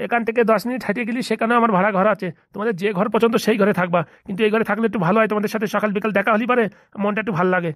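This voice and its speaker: A performer doing a fussing middle-aged cartoon parent, kindly, extremely rapid chatter, Bengali accent, dry recording. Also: mid-range voice, steady tone, fairly bright tone, no room sound, natural voice. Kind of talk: Bengali comedy cartoon dialogue.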